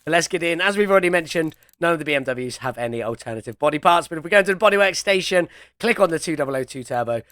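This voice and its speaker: A young man chats through his gameplay step by step, sounding a little under the weather from a chest cold. His voice sits fairly high and has a bright, forward ring. The recording is close and dry, with no room sound around him. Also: no echo. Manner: chatty, talks himself through each step. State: ill with a chest infection and cold. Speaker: gameplay commentator. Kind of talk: casual gameplay commentary.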